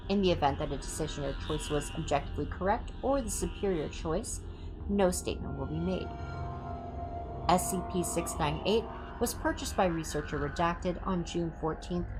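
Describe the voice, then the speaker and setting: A woman livestream host reading horror fiction aloud like a clinical report, in a measured, deliberate way. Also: lowish voice, poor audio. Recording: background music under the voice. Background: music.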